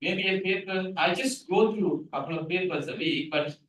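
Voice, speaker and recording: A middle-aged man whose first language is Tamil gives a guest lecture on scientific writing. He is animated and keeps asking the audience questions. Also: sits high, normal speed. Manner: animated, asks the room questions. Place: seminar room, hybrid session. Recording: echoey room from a distance.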